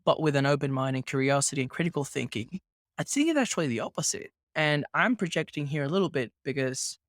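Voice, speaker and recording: Guy hosting a podcast, kinda high voice, dry close sound, asks himself questions and answers. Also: no echo. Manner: thoughtful, builds points with rhetorical questions. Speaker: podcast host. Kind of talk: casual podcast conversation.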